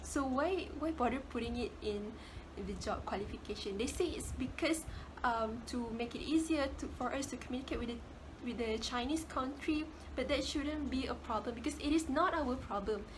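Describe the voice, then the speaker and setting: Young woman, Malaysian English accent, high voice, class presentation, noisy hiss behind. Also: moderate pace. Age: young adult. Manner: casual, repeats words while searching for phrasing. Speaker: student presenter.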